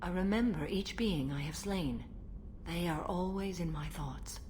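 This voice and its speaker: Low-voiced, solemn alien warrior woman, played by an actress. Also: darkish tone, slight background noise, lightly acted. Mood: stern, composed. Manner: formal, measured, solemn. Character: ancient alien warrior woman, stern, serene, unyielding. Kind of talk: video game dialogue acting.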